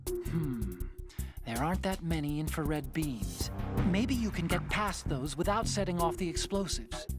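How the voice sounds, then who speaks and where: A man's voice: on the high side, lively intonation, a little slow, loud music behind the voice. A man playing an earnest, brainy mission-support partner in a video game, explaining things precisely. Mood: explanatory.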